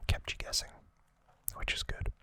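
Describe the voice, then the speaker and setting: A man hosting an ASMR video, giving a casual recap in a breathy, unvoiced whisper with a halting, intimate delivery. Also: pushed and bright.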